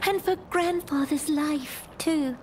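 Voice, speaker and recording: An actress playing a small, sweet, grateful village child in a video game, gentle and innocent, over music. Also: female voice, high voice, slow, noisy background. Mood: grateful, shy. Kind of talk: video game character acting. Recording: clear game music underneath.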